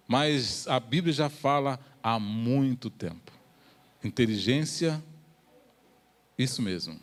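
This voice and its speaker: Brazilian guy preacher, pretty high voice, explaining stuff in a sermon, stops and repeats himself. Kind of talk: church sermon.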